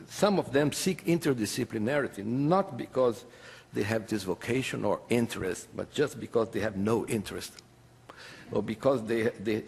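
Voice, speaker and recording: A man who is not a native English speaker giving a halting, self-correcting conference presentation. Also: medium pitch, vocal fry, husky. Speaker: academic conference panelist. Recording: reverberant hall sound.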